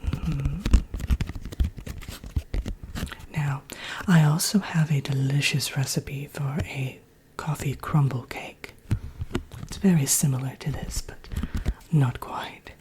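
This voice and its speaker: Casting: woman performing haughty fairy-tale villain queen for ASMR roleplay. Low-pitched breathy whisper, unhurried delivery, sly and genteel. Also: steady tone, background noise. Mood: genteel, instructive. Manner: genteel, unhurried, drily wicked. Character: haughty, wicked fairy-tale Evil Queen teaching recipes. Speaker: workshop presenter.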